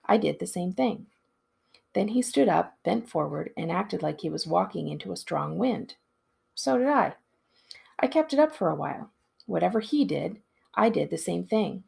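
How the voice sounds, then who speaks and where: Female storybook narrator, librarian read-aloud style: expressive delivery, lower register, strongly raspy. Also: moderate pace. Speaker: school librarian reading aloud.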